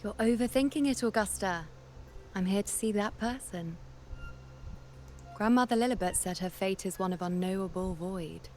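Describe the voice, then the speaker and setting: A defiant, brooding young priestess voiced by a woman from a video game. Her mid-range voice is imperious and dramatic, with a haughty edge. Music plays clearly behind her. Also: mid-range voice, normal speed, darkish tone, natural voice. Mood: brash, impatient.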